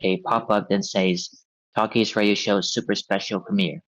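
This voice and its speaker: Male narrator for script directions; lowish voice, scripted read, slightly muffled lo-fi audio. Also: steady tone, moderate pace, a bit bright. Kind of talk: reading stage directions at a table read. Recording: dull, band-limited audio.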